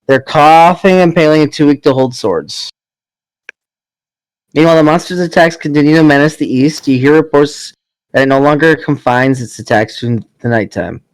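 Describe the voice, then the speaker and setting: A man's voice: fairly high pitch, moderate pace, no room sound, poor audio. A man playing a party game with friends, reading story narration aloud in between casual remarks. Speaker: player reading narration and his character's lines.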